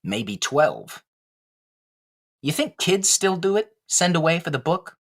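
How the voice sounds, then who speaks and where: Dreamy puppet, raspy voice, very lively pitch swings, plaintive, philosophical, comic radio play. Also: male voice, takes it slowly, heavily acted. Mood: wry, glum. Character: wistful, philosophical wooden male ventriloquist dummy. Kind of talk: comic audio play dialogue.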